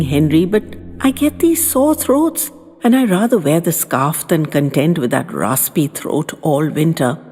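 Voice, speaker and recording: A genteel woman in her seventies, acted by a female narrator tells her story with animated, swooping intonation. Her voice sits slightly low, in long reverb over music. The wording is old-fashioned and dramatic, in the manner of an audio story. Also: husky, exaggerated voice. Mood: chatty, brisk. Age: 70s.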